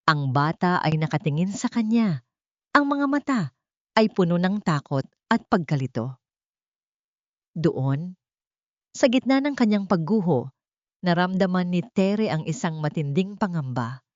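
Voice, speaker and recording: A woman's voice: on the low side, lively intonation, measured pace, long pauses. A woman narrating an audiobook drama in a solemn, melodramatic scripted style. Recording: dull lo-fi audio with room echo.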